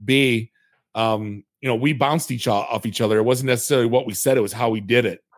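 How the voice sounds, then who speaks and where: A man in the middle range of pitch hosts a podcast, talking in a chatty, storytelling way and poking fun at himself. His voice is recorded close and dry, with no room sound. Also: brisk, no room sound. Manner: chatty, storytelling, self-mocking, many restarts. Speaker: podcast host. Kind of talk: casual podcast conversation.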